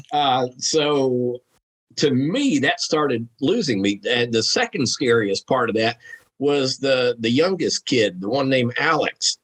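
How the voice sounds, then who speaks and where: A man's voice: medium pitch, a bit bright, slightly rough. A man talking casually and frankly as a podcast guest, with salty, informal phrasing. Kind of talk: casual conversational commentary.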